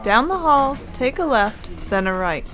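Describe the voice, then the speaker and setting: Woman acting a bright, high-voiced brisk nurse. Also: big pitch swings, exaggerated voice. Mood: businesslike. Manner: brisk, directive. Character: brisk female hospital nurse, commanding in emergencies. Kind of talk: audio drama acting.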